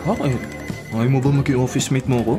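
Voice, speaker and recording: An actor playing a shy, earnest young office worker in a Tagalog radio drama, with a Filipino accent and a hesitant way of speaking. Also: male voice, medium pitch, fairly even intonation, quick pace, over music, lightly acted. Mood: frank, pleading. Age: young adult. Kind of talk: Tagalog radio drama acting with inner monologue.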